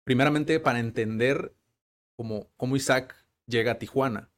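A man hosts a casual interview. He rambles in halting phrases and leans on fillers and tag questions. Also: medium pitch. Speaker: podcast host.

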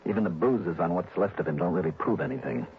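A muffled, noisy old radio recording carries a shrewd investigator. He has a low American voice and a determined, coolly scheming manner, in classic 1960s radio drama style. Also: fast, vocal fry. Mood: intent, scheming.

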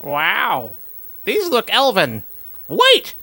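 A woman voicing a bewildered, sensible friend who asks deadpan questions and sometimes squeals in surprise. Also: mid-range voice, very slow, heavily acted. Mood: exasperated.

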